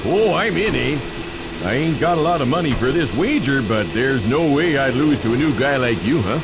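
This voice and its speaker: A man's voice: mid-range voice, animated, moderate pace, over loud music, close to an everyday voice, rough recording. A man playing a folksy, colloquial off-duty guard in a cartoon video game. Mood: cocky. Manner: colloquial, tags sentences with "eh" and "huh". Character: gullible broke off-duty guard, folksy gambler.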